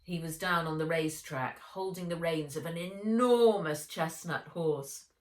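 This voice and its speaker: A woman reading a children's adventure story aloud with dramatic, deliberate delivery. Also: deep voice. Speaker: author reading her own book aloud. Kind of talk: expressive children's audiobook narration. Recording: roomy echo, distant from mic.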